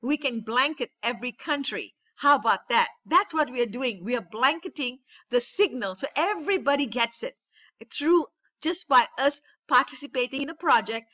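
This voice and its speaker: Bright, high-voiced woman leading a webinar training. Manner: enthusiastic, repetitive, rallying. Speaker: network marketing trainer. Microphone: webinar call.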